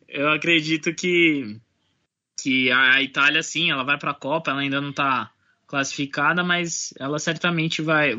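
A man talking football in a casual panel, thoughtful and halting, often restarting his sentences. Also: fairly high pitch, pushed and bright. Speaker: podcast panelist. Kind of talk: casual sports discussion. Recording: dull lo-fi remote audio.

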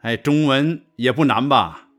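A man's voice: medium pitch, measured pace, a bit bright, soft background music, close to an everyday voice. A man playing an insistent, instructive father of a young girl in a radio drama.

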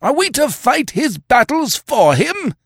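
A resentful, cowardly warrior with a high, shrill voice that howls and screeches. His voice is thin and strained, far above the narrator's own. The sound is close and dry. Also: huge pitch swings, measured pace, breathy edge, no echo, heavily acted. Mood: howling. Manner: howling, screeching. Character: snarling, cowardly hill warrior, adult man.

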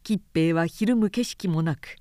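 A woman narrator reading a literary story in a measured, expressive, dramatic storytelling style. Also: fairly low pitch, no echo, studio quality.

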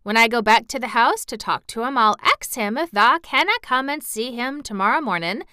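A spirited young girl, as a woman puts her on, proudly shapes her words in broad Yorkshire dialect. Her voice sits high and bright, with a clean, crisp edge. The sound is close and dry. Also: expressive, normal speed, no echo. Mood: eager.

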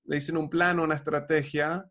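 A man talks like a coach in a relaxed podcast chat. His voice sits a touch high for a man. He keeps an upbeat tone and fills pauses with small hesitations. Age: 40s. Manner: upbeat, coaching tone with frequent fillers.

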